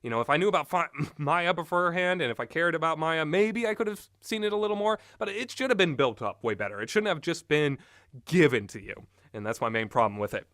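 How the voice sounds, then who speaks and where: A man with a slightly high voice talks through his opinions on games in a loose, rambling way. He repeats himself for emphasis, and the sound is close and dry. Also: lively intonation, quick pace, a bit bright, dry recording. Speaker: commentator reviewing visual novel games. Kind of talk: rambling, informal opinionated game commentary.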